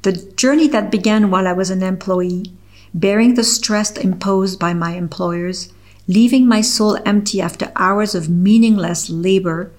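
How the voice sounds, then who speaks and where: A middle-aged woman with a French accent, a French native speaker from Switzerland, reading her own personal essay aloud in a slow, measured, earnest way. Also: mid-range voice, a little mellow. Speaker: author reading her article.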